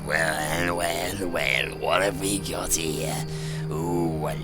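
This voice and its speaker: An actor playing a sly, gloating scrap thief with a chuckling, drawn-out delivery in an audio drama. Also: male voice, sits low. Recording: loud music under the voice.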